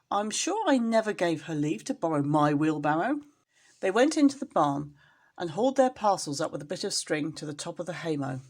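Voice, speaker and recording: A woman storyteller reading a children's storybook expressively, as if to a young listener. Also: fairly low pitch.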